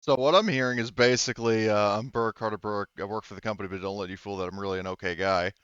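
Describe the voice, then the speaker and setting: A man's voice: on the low side, steady tone, fast talker, fairly bright tone, clear, resonant tone, no echo, muffled recording. A man talking casually and informally as game master of a tabletop roleplaying game.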